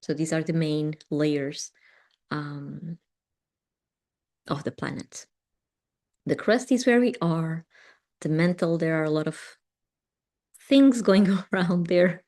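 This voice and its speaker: A woman with a fairly low voice speaks slowly and softly. She is not a native English speaker. She guides a live meditation class, answers chat comments with gentle affirmations and laughs lightly as she goes. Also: lively intonation. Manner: gentle and affirming, laughs often, with pauses and ums. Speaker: meditation teacher.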